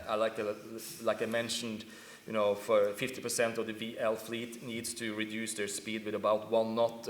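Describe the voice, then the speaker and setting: A man talks in a flat, level voice on a conference panel, hesitating often and chuckling between phrases. His voice rings through a large hall with a long reverb. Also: mid-range voice, slight background noise. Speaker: shipbroking analyst on a panel.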